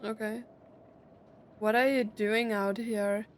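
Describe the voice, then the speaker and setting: A woman's voice: on the high side, flat delivery, takes it slowly, a little hiss or hum. A woman doing casual playthrough commentary, reacting informally with short questions while game music plays behind her. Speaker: gaming streamer.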